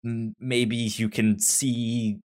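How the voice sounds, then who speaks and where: A man co-hosting a casual TV discussion podcast, speaking haltingly with stammered, repeated syllables and words. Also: mid-range voice, flat delivery, a little mellow. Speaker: podcast co-host.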